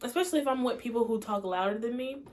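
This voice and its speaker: Soft-spoken young woman, hesitant. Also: on the high side, very fast. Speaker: co-host. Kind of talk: casual chat while eating.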